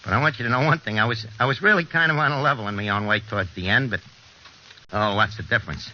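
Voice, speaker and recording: An actor playing a wisecracking American small-time forger in an old radio drama, with slangy, hesitant, streetwise talk. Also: male voice, on the low side, fast, pushed and bright. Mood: resigned. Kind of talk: 1940s radio drama acting. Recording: dull, noisy old radio recording.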